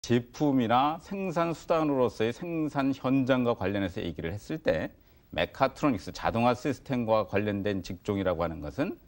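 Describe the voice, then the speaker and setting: A man's voice: medium pitch, lively intonation, moderate pace. A man giving explanatory answers as an expert in an interview.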